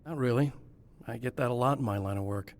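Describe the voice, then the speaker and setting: A man's voice: medium pitch, fast, lightly acted. An actor playing a weary, determined male horror novelist with a dry, matter-of-fact delivery in a game cutscene. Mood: wry. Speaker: writer, protagonist.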